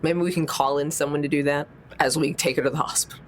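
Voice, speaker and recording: A woman's voice: low-pitched, steady tone, quick pace, a little hiss or hum. A woman playing a tabletop role-playing game, improvising casually in a hesitant, informal way.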